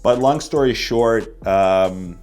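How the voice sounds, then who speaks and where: Low-voiced laid-back male dating coach. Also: slow pace, a little mellow. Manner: casual, laid-back, many fillers. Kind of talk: video commentary breakdown. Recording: faint background music.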